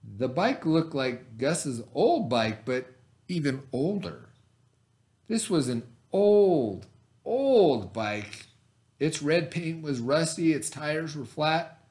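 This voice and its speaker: Animated male teacher, expressive delivery. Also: fairly high pitch, slow pace. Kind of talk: expressive read-aloud for children.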